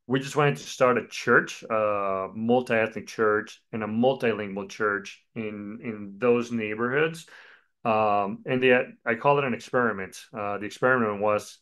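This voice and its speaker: Colombia-born guy in his 40s, pastor, talks easy with lots of ums, smooth and resonant. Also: fairly low pitch. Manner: relaxed storytelling with many fillers. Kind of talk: podcast interview.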